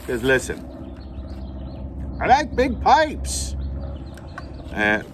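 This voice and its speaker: A man's voice: fairly high pitch, wildly swooping pitch, very slow, fairly bright tone, a little hiss or hum. A man hosting a playful unboxing vlog, talking like a theatrical showman with mock grand announcements. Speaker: host, pipe unboxing.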